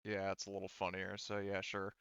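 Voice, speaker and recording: A man narrating a tabletop game as game master, casually and haltingly, with some swearing. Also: low-pitched, monotone, creaky, a bit bright, clear, resonant tone, dry recording, lo-fi sound. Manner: halting, profane.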